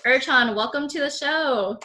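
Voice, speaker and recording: A woman's voice: sits high, a little slow, bright, forceful tone, slight background noise. A woman co-hosting a podcast, upbeat and welcoming as she opens the interview. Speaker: podcast co-host.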